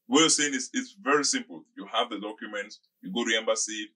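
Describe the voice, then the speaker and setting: Male interviewer, thirties, podcast studio. Forceful, sharp-edged tone, wordy and conversational, repeats himself while forming questions. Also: fairly low pitch, fast, husky. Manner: wordy, repeats phrases as he thinks aloud. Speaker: podcast host. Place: small indoor podcast studio. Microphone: desk microphone close up, with headphones.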